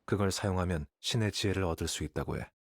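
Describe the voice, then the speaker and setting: A man playing a calm, aloof, calculating young scholar in a video game, explaining things in a composed, matter-of-fact way. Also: low voice, fast. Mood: calm, explanatory. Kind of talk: scripted game dialogue, Korean voice acting.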